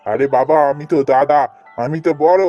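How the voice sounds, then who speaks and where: A man's voice: on the high side, normal speed, mellow, muffled recording, soft background music, lightly acted. A man voicing a stubborn, grumbling young village husband and elder brother in a cartoon fairy tale, scolding and complaining. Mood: annoyed.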